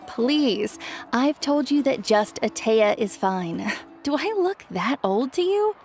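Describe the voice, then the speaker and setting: A woman playing a teasing, motherly yet youthful neighbour in a video game, gently authoritative. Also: on the high side, lively intonation, slow, faint music behind. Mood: teasing, friendly.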